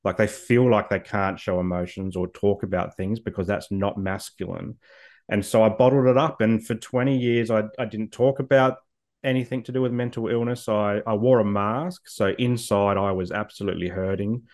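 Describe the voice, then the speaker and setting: A man's voice: fairly low pitch, fairly even intonation, moderate pace, darkish tone. A man in his forties, speaking reflectively as an interview guest, with frequent ums and repeated words. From Australia. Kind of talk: personal story in an interview.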